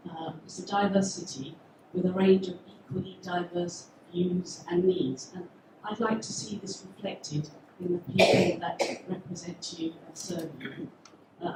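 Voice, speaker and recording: A woman's voice: lowish voice, background noise. A woman from England, a local election candidate, giving a prepared speech at a public meeting in a school hall, hesitant with many fillers. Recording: echoey hall from far off.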